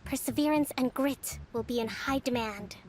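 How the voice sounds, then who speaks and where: A woman voicing a calm young female leader of an island army, speaking in a composed, measured, authoritative way. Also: on the high side, slight background noise, natural voice. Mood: commanding. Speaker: game character. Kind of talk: video game voice acting. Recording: game audio with faint music.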